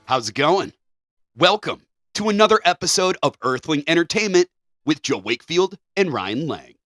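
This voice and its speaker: A man's voice: on the high side, sing-song, moderate pace, sharp tone, dry recording, clean studio sound, soft background music. A man co-hosting a podcast, bantering casually in an easygoing, agreeable way. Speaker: podcast co-host.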